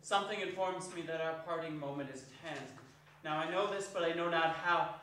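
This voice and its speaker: A man's voice: on the high side, a little fast, bright, forceful tone, a little hiss or hum. A man playing a frightened, repentant old miser, pleading urgently in a theatrical stage reading. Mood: urgent questioning. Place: arts centre hall, stage reading. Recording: echoey hall, far from the mic.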